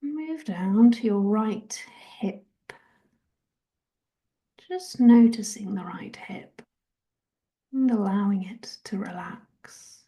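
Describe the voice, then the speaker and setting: A woman leading a guided meditation in a soft, gentle, breathy half-whisper, giving soothing body scan instructions. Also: medium pitch, takes it slowly, long pauses. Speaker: guided relaxation and meditation host.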